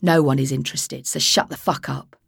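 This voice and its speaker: Lady doing a burly cockney skinhead, growly and low, raspy, snaps at people. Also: fairly even intonation, fairly quick, no echo, studio quality, heavily acted. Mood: growling. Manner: growling through gritted teeth. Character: burly male skinhead, menacing and curt.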